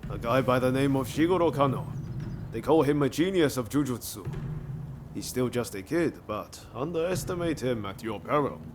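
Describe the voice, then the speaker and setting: An actor playing a loyal, encouraging companion to a samurai-era fighter in a video game, informal and supportive. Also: male voice, mid-range voice, animated, moderate pace, noisy background, lightly acted. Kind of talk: video game cutscene acting. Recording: loud music behind the voice. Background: music.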